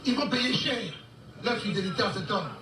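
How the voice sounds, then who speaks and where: A man giving a forceful, declamatory public speech, heard in a replayed archive clip. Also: high-pitched, normal speed, background noise. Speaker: speaker in a replayed political clip. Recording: echoey archive clip with crowd.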